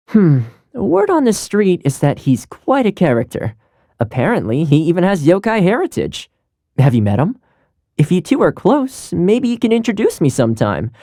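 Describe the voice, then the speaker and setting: Animated, easygoing young retainer. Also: male voice, fairly high pitch, no echo, clean studio sound. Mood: friendly, chatty. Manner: chatty, earnest, conversational with light chuckles. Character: friendly, easygoing young retainer man, loyal and good-natured. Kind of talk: video game character voice lines.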